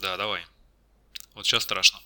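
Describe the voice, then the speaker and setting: A man with a fairly low and strikingly bright, forceful voice. He speaks as a job candidate in a technical interview, feeling his way through answers and correcting himself. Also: level tone, normal speed, clean tone, no echo. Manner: hesitant, self-correcting. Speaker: job candidate, network engineer.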